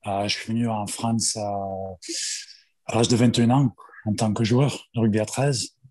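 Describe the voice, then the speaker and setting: A man's voice: fairly low pitch, steady tone, moderate pace, a little mellow, breathy edge. A man in his forties with an Australian accent, a strength coach who speaks French hesitantly as a podcast guest, with many fillers and restarts. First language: English. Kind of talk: interview guest.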